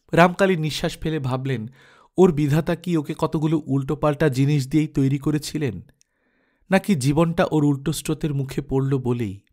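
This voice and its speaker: A man with a medium-pitched voice narrates literary fiction. His reading is measured and expressive, pausing reflectively between phrases as an audiobook storyteller does.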